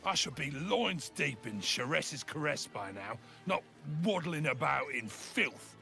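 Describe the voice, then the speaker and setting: Gravelly, pompous, indignant merchant. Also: male voice, on the high side, big pitch swings, unhurried, bright, forceful tone, full character voice. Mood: blustering outrage. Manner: pompous, blustering. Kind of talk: video game character dialogue. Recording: music behind the voice.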